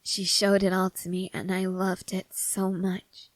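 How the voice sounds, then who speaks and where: A woman playing a haunted, restless young woman soldier in an intimate ASMR character roleplay, speaking haltingly and broodingly with pauses. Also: mid-range voice, completely flat delivery, no room sound, natural voice. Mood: wistful. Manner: slow, halting, brooding delivery with long pauses.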